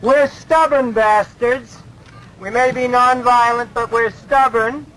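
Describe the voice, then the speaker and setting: A man's voice: extremely high pitch, fairly even intonation, takes it slowly, a bit bright, low-quality recording. A man organizing a protest march, speaking emphatically and defiantly to the press on a city street.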